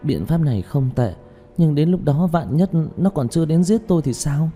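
A man's voice: fairly high pitch, lively intonation, moderate pace, warm tone, faint music behind, pitched above the performer's own voice, close to an everyday voice. A man voicing a polite, hesitant young female singer in an audiobook story, with an earnest manner. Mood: thoughtful, doubtful. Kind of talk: audiobook character dialogue.

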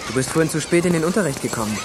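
Guy acting intense teen true believer, talks fast, insistent, radio play, outdoor city noise. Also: on the high side, lively intonation, close to an everyday voice. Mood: guarded. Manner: urgent, insistent. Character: earnest teenage boy, zealous believer, pressuring and threatening. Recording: outdoor urban noise behind.

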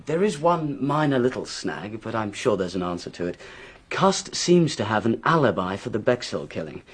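Gruff, cocksure English police inspector. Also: male voice, lowish voice, big pitch swings, moderate pace. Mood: wry, brisk. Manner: blunt, confident, emphatic. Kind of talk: radio drama acting.

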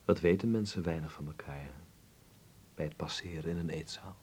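Raspy, courteous young foreigner. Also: male voice, very deep voice, brisk, dark tone. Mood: hesitant, musing. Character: polite, curious young Dutch would-be actor abroad. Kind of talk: Dutch radio drama acting.

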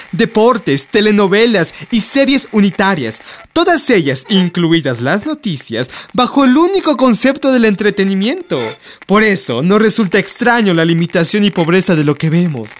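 An actress playing a reflective, self-justifying television devotee, delivering an articulate radio drama monologue. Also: female voice, mid-range voice, big pitch swings, moderate pace, slight background noise, natural voice. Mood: matter-of-fact. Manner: musing, articulate.

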